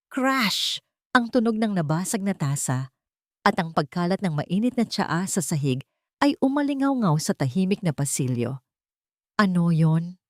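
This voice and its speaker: A woman narrates a dramatic story in a lowish, very lively voice. She sets out each short phrase deliberately. The sound is dry and close. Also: dry recording.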